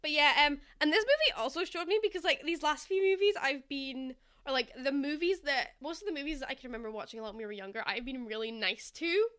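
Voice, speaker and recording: A woman's voice: sits high, lively intonation, fast, hardly any pauses, fairly bright tone. A young woman co-hosting a podcast, casually picking apart a movie in an analytical way with lots of filler "like". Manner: critical, analytical, frequent filler "like". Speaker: podcast co-host. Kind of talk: casual movie discussion chat.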